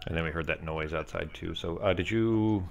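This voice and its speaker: A man's voice: low-pitched, normal speed, vocal fry, a little mellow, lo-fi sound. A man playing in a tabletop game over voice chat, chatty and helpful as he explains the rules. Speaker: player. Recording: voice chat with faint hiss.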